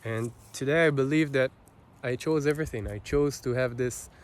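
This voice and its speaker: A man with an Israeli accent, a native Hebrew speaker, giving an unscripted personal monologue in a halting, reflective manner with long pauses. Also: medium pitch. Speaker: vlogger.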